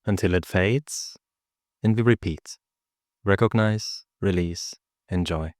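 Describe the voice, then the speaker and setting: A man leading a guided meditation as a teacher, speaking in a calm, measured, instructional way with pauses. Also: sits low, no echo, clean studio sound.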